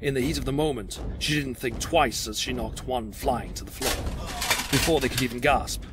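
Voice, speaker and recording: A man's voice: mid-range voice, moderate pace, heavy background noise. A man delivering scripted dramatic narration as the narrator of an audio drama.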